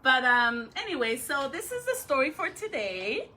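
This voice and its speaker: A woman talking and reading aloud to young pupils as a school educator, with expressive, drawn-out phrasing. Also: high voice, slight background noise. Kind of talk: read-aloud for children with chatty intro.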